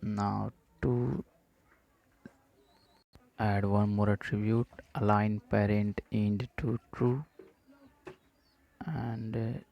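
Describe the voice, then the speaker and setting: A man slowly talking through app-building steps in a coding tutorial, dictating each step with short pauses and fillers. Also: on the low side, vocal fry, muffled recording. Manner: slow, flat dictation of code steps with "uh" fillers. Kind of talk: screen-recorded coding walkthrough.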